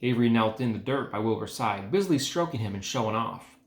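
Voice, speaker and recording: A man's voice: fairly low pitch, expressive, fast. Man reading a storybook in an echoey room. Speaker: educator reading aloud to students. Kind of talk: casual address and storybook narration.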